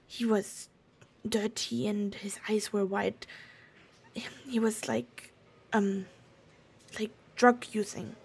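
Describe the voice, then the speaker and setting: A woman playing a nervous, secretive German desk clerk in an audio drama, with a German accent and a halting, hushed, stammering delivery. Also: mid-range voice, husky. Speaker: voice actor. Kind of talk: cinematic audio roleplay acting.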